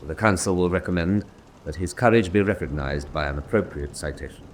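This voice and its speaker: Low-voiced, stern presiding officer, acted by a man. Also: natural voice. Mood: solemn, deliberate. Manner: deliberate, judicial. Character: stern German officer presiding over honor council. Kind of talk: solemn radio drama acting. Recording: noisy drama track with ambience.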